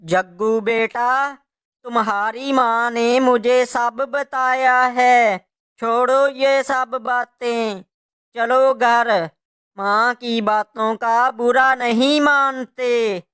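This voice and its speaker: A man voicing a tired, ailing father crow in a cartoon moral story, worried and gentle with his family. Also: very high-pitched, fairly even intonation, extremely slow, smooth, full character voice. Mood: gently coaxing.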